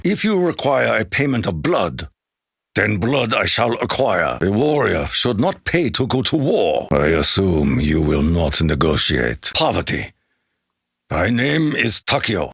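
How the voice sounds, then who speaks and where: A proud japanese samurai type warrior for a game, japanese accent, gritty sharp voice, very solemn n dramatic, formal clipped phrases. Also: male voice, medium pitch, gravelly, breathy edge, no room sound, clean studio sound, full character voice. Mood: disdainful. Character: proud, honor-bound Japanese warrior fighting demons, grim and solemn. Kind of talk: theatrical video game voice acting.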